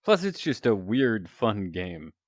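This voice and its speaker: A man with a deep, announcer-like voice, chatting casually with viewers while he plays a game. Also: takes it slowly, no echo, studio quality. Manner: relaxed, rambling, chatting to viewers. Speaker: streamer.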